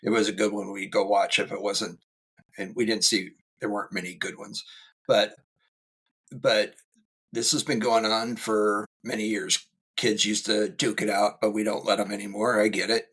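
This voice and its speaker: A middle-aged man co-hosts a podcast and rambles through stories with dry, teasing humor. His voice is husky, bright and forceful, and sits a little low. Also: flat delivery, normal speed. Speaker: school safety specialist, co-host. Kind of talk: casual podcast chat.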